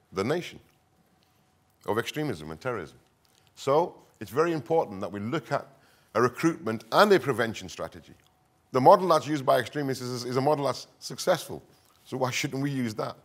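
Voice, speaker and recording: Earnest man giving a talk. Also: medium pitch. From northern England. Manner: earnest, emphatic repetition, deliberate short phrases. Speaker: conference speaker, counter-extremism expert. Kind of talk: conference presentation, semi-improvised public speaking.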